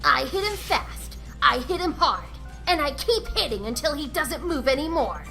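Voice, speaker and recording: A woman's voice: high voice, expressive, normal speed, vocal fry, over music. A woman voicing a hot-headed young female tenrec fighter in a comic dub, cocky, snappy and sarcastic. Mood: aggressive, taunting.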